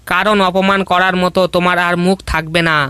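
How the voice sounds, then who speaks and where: A man's voice: high-pitched, level tone, moderate pace, bright, forceful tone, a little hiss or hum. A man voicing a bold, protective fox uncle in a cartoon. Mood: defiant. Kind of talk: cartoon voice acting.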